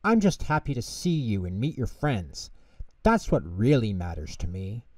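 A man voicing an affectionate, dignified elderly grandmother mouse in a children's storybook, measured and gently commanding. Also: on the low side, sing-song, no room sound, recorded from a distance. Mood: affectionate, soothing.

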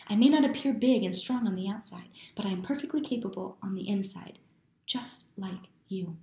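A woman putting on a gentle stepmother, hushed, soothing, storybook figure, roomy echo. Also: mid-range voice, normal speed, close to an everyday voice. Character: small, gentle stepmother, soft and caring.

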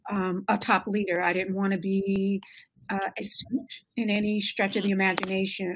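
A woman's voice: mid-range voice, a little hiss or hum, poor audio. Reflective woman, healthcare leader on a call. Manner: reflective with frequent ums. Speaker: nurse turned healthcare leader. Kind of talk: panel self-introduction. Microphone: webcam on video call.

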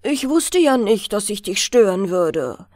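Woman acting out an earnest, animated poor woodcutter. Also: medium pitch, measured pace, no echo, studio quality. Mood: apologetic. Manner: earnest, humble, sighing. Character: poor, worried, good-hearted male woodcutter and father.

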